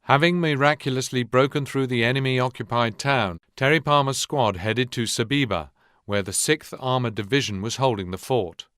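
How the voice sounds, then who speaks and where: A man plays a grave documentary-style narrator for a war video game. His voice is smooth and resonant at a middling pitch, formal and measured, recorded very dry. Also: fairly bright tone, dry recording, natural voice. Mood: sober, grave. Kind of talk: scripted historical war narration.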